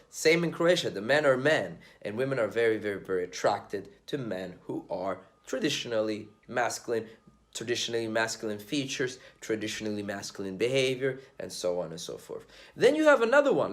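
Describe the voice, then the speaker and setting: A young man from Sweden talking casually and bluntly to camera, slangy and swearing as he gives his views on dating. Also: mid-range voice. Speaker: dating commentator vlogger.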